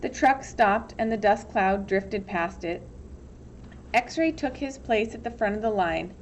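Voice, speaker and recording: A woman's voice: mid-range voice, lively intonation, measured pace, slight background noise. A woman reads a children's novel aloud as scripted storybook narration. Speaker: teacher reading aloud.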